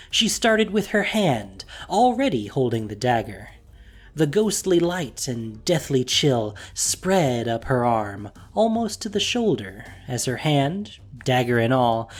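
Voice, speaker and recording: A man narrating a fantasy audio story in a scripted, expressive storytelling manner with dramatic pauses. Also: on the high side.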